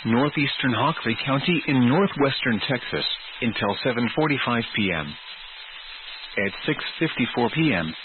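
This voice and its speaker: Male weather radio announcer, heavy static. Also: medium pitch. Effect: weather radio broadcast. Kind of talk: scripted emergency weather bulletin. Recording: noisy, narrow phone-like radio signal.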